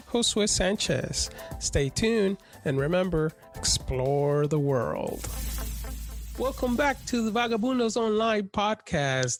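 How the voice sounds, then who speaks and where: A man hosting a travel podcast, introducing and interviewing a guest in an easygoing way. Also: high voice. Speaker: podcast host. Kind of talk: casual interview.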